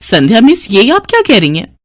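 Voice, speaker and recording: A woman's voice: fairly high pitch, wildly swooping pitch, brisk, no echo. A woman puts on a prudish schoolteacher woman for a moral story. She is curt, scolding and disapproving, in a dry recording. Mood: scandalised.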